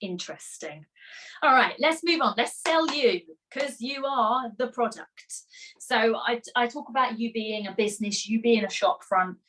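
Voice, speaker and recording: A woman coaching musical theatre performers in a live online masterclass, energetic and encouraging, chatty with rhetorical questions. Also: on the high side, measured pace, rough recording. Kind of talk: live online masterclass, reading chat comments.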